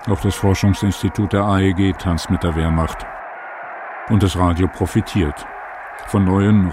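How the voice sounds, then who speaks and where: A man narrating a radio documentary in measured, clipped fragmentary phrases. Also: deep voice. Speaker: radio feature author and narrator. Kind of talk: scripted documentary narration with location conversation.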